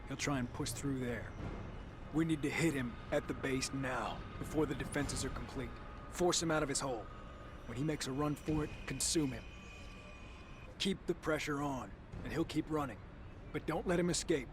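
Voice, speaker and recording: An actor playing a ruthless, commanding military ally who gives a firm mission briefing in a video game. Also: male voice, mid-range voice, moderate pace, background noise, natural voice. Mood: firm, urgent. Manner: firm, instructing.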